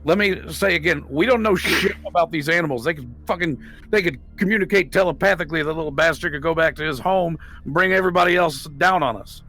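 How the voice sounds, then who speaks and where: A man's voice: fairly high pitch, quick pace, sharp tone. A man playing a tabletop roleplaying game, chatting casually and arguing his case in an insistent, heavily profane way. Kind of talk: casual in-character table chat.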